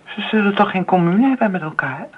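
Nosy neighbour woman, swooping pitch. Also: expressive, a little fast, slightly rough. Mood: insinuating. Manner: gossipy, insinuating, emphatic. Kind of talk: radio play acting. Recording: muffled narrowband old radio play recording.

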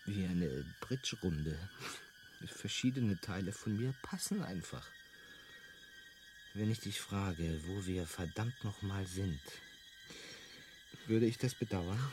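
An actor playing a bewildered, grumbling ordinary earthman in a German radio drama, with a dry, hesitant, deadpan delivery. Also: low-pitched, slow pace, pauses often, background noise. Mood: groggy, dry.

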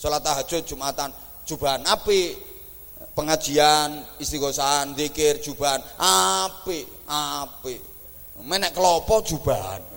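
A man with an East Javanese accent, giving a humorous religious sermon in an animated, teasing way. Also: fairly high pitch, a little slow, creaky. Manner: joking, animated, teasing the audience. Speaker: preacher. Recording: echoey hall.

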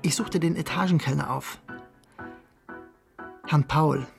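An actor playing a brooding betrayed husband who narrates his story in a radio drama, in a measured, restrained way. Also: on the high side, gravelly, soft background music. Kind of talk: literary first-person radio drama narration.

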